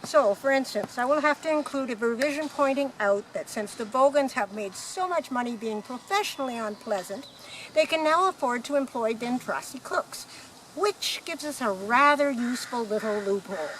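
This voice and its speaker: A woman's voice: a little high, expressive, moderate pace, a bit bright, a little hiss or hum, poor audio. A woman playing a breezy, knowing galactic hitchhiker in a radio play, explaining things in a matter-of-fact way. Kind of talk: amateur radio play acting.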